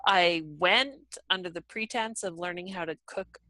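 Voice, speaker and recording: A woman's voice: lowish voice, measured pace, sharp tone, no echo. A young woman hosting a podcast, talking animatedly with lots of "like" and the odd swear word. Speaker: podcast host. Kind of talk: casual chat.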